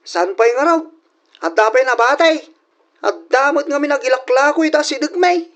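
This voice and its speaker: A woman's voice: high-pitched, moderate pace. A woman playing a mild, agreeable married male farmer in an Ilocano radio drama, with an Ilocano Filipino accent. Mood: busy, agreeable.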